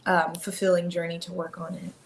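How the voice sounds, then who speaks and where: A young woman from Miami with an American accent, talking casually as a guest about her animated film, upbeat and full of likes and ums. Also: lowish voice, a little fast, gravelly, slight background noise. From Miami, Florida. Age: young adult. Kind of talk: casual interview chat. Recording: roomy, echoey sound with faint ambience.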